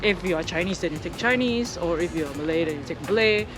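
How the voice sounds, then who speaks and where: A woman's voice: lowish voice, quick pace, music in the background. A young adult woman from Indonesia, a guest in a casual interview, speaking hesitantly with many fillers and restarts.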